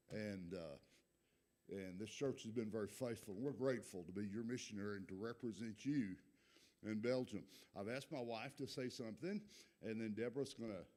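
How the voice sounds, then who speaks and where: An older man with a Texas accent giving a folksy, joking missionary report in a church, pausing often with fillers. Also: lowish voice, mellow. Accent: Texan. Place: church sanctuary.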